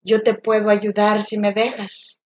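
A woman gives voice to a wounded inner child, pleading slowly and reproachfully with the grown-up who abandoned her. The delivery is soft and hurt, drawn out over long pauses. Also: mid-range voice, monotone, close to an everyday voice.

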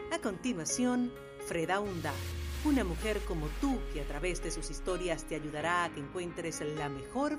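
A woman reading a scripted podcast intro in a solemn, encouraging way. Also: on the low side. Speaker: podcast announcer. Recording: loud music bed under voice.

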